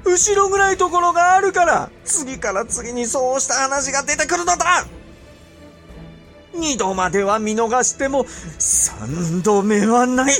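An actor playing an imperious, impatient and suspicious medieval Japanese ruler in a period audio drama, with theatrical, drawn-out emphasis. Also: male voice, very high voice, expressive, fairly bright tone, faint music behind, full character voice. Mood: scornful, indignant. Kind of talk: period radio drama acting in archaic speech.

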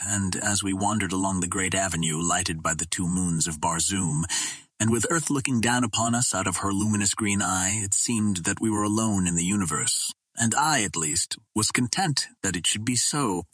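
Male narrator for classic adventure fiction: lowish, slightly rough voice, measured and earnest, recorded dry. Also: fairly bright tone, no echo. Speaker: audiobook reader. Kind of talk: scripted first-person narration of an adventure novel.

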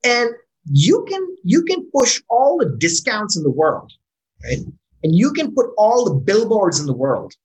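A startup founder gives a casual interview. The pitch moves a lot, and the voice is animated and expressive. The speaker often restarts words and leans on tag questions like "right?" to keep the listener in step. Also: unhurried, poor audio. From Pakistan.

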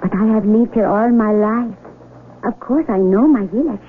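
A woman's voice: mid-range voice, normal speed, lightly acted. A woman acting in a radio drama as an earnest, sheltered young blind villager who speaks in simple, formal words. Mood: gentle, curious. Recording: tinny radio broadcast with faint noise.

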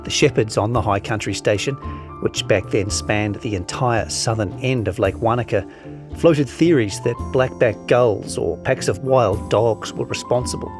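A man's voice: lowish voice, normal speed, over music. A man giving a scripted narration of a long-form article.

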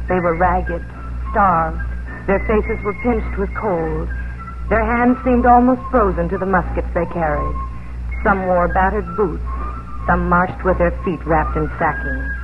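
Earnest, proud colonial gentlewoman played by an actress, wavering in loyalty; old-time radio drama over prominent music, dull sound. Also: lowish voice, lightly acted. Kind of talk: 1950s radio drama acting and dramatic narration. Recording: dull vintage 1950s radio broadcast, orchestral music.

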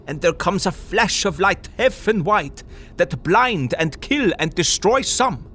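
An old professor lecturing. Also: male voice, mid-range voice, a little hiss or hum. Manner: broken English, emphatic lecturing, lively swings. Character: elderly male Dutch professor, earnest, lecturing. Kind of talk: audio drama acting.

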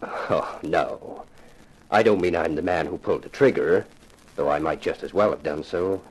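A man's voice: deep voice, husky, poor audio. An actor playing a grave, formal, wealthy father hiring a detective in a 1950s radio drama. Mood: solemn, remorseful. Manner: formal, measured, solemn phrasing.

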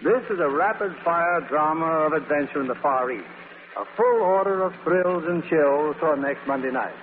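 A man's voice: fairly high pitch, animated, normal speed. A man hosting a 1940s radio theatre with scripted announcing and chat with stars. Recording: echoing 1940s radio broadcast, narrow band, hiss.

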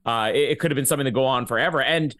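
A man of medium pitch speaks as a podcast co-host. He sets out his points in an informal, conversational discussion style. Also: rapid-fire, a bit bright. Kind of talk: casual discussion.

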